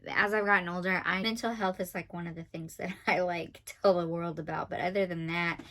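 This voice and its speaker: A woman in her twenties with a nasal, monotone voice, chatting fast and dryly as a vlogger counting off facts about herself. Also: on the low side. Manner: talks fast and a lot, dry and sarcastic. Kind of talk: casual talking-to-camera list video.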